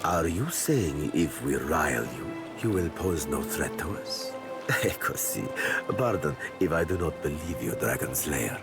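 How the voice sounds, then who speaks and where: A deep, suave pirate captain. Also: male voice, expressive, a little slow, creaky, heavily acted. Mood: wry, warning. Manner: formal, elaborate, courteous phrasing. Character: suave, sly male pirate captain, courtly and calculating. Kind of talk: video game character acting. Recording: loud music behind the voice.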